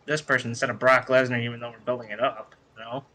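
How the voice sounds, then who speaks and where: A man's voice: medium pitch, level tone, quick pace, sharp tone, slight background noise. A man co-hosting a podcast in casual chat, blunt and opinionated, swearing freely. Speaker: podcast co-host. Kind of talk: casual wrestling review chat.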